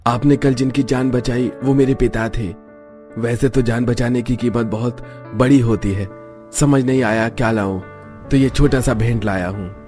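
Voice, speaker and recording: A man playing a gentle, grateful rich man with a soft, polite manner in a cartoon. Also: mid-range voice, steady tone, moderate pace, faint music behind, natural voice. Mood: gentle gratitude.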